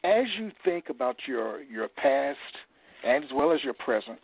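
A man's voice: medium pitch, very animated, normal speed, vocal fry, husky. A man hosting a radio interview, effusive and full of praise for his guest. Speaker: radio show host. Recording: tinny phone-like line with faint noise.

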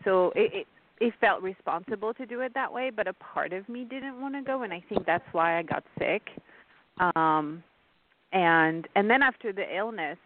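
A woman's voice: medium pitch, lively intonation, moderate pace. A woman from France, speaking as a radio interview guest in an earnest, reflective way. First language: French. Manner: earnest, reflective, with frequent fillers and soft laughs. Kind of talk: interview conversation. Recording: tinny phone line.

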